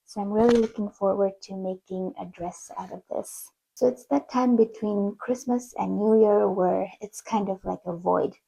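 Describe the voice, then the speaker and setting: A woman in her thirties narrating her sewing vlog in a chatty, self-deprecating way, her voice still croaky from the flu. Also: medium pitch, steady tone, normal speed, few pauses, dark tone, rough recording. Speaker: sewing vlogger.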